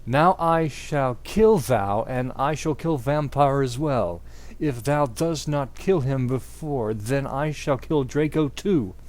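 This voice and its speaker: Man acting a evil dark lord, menacing, commanding, slow delivery, dramatic storybook villain. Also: medium pitch, lightly acted. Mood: evil, threatening.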